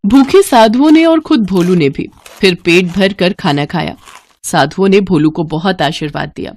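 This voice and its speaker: A woman narrating a bedtime cartoon story in an expressive, scripted storybook style. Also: lowish voice, normal speed.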